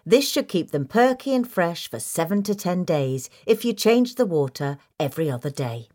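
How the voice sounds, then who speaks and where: Woman narrator with lively, swooping intonation. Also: on the low side, normal speed. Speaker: narrator reading a gardening article. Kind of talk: scripted instructional narration.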